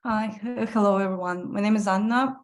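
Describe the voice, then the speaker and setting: A woman's voice: mid-range voice, moderate pace. A woman artist and curator introducing herself briefly on a video call. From Russia.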